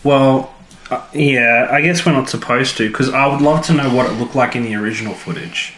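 A man's voice: mid-range voice, brisk, fairly bright tone. A man co-hosting a podcast, bantering casually and playfully about a TV show and arguing his points. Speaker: podcast co-host. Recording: roomy echo, music underneath.

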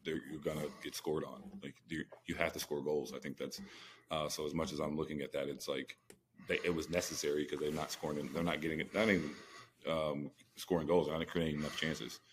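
Really deep voiced guy talking fast on a sports podcast, slangy and opinionated, bit of background noise. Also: little pitch movement. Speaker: podcast co-host. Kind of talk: casual sports debate.

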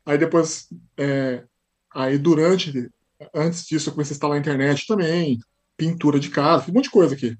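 A man's voice: a little high, normal speed, a little mellow, husky. A Brazilian man with a São Paulo accent, a podcast guest who tells stories in casual chat and acts out the dialogue he quotes. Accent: São Paulo Portuguese.

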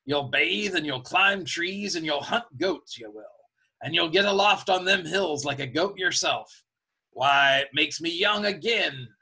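A man's voice: a little high, lively intonation, measured pace, pushed and bright, slightly rough, exaggerated voice. Man putting on a sly old cook. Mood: coaxing. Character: old one-legged pirate cook, wheedling and sly.

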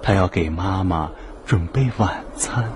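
A man narrating a children's radio drama in an expressive, scripted storytelling style. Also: low-pitched, unhurried, background noise.

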